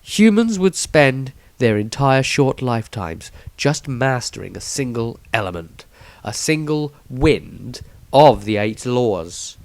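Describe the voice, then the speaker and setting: A man reads fantasy narration at a slow, measured pace with dramatic phrasing. His mid-pitched voice has a gravelly creak, and the sound is close and dry. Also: sing-song, no echo. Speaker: author reading own story. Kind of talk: scripted fantasy audiobook narration.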